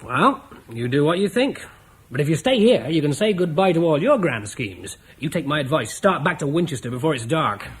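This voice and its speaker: An actor playing Gawain, a brash, cynical, flirtatious soldier in a radio drama, cocky and mocking. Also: male voice, on the high side, sing-song, moderate pace. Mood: cynical, urging. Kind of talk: British radio drama acting.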